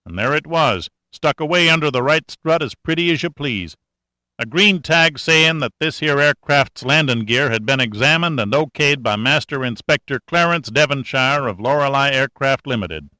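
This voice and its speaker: A man voicing a folksy, excitable country-boy sergeant mechanic with a drawling, colloquial manner, in an audiobook reading. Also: medium pitch, normal speed, no echo, voice pushed up.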